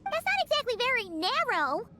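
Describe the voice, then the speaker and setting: A tiny, childlike fairy companion from a fantasy game, excitable and squeaky. The voice is extremely high and bright, with faint music behind. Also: female voice, fairly quick, full character voice. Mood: doubtful. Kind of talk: video game voice acting.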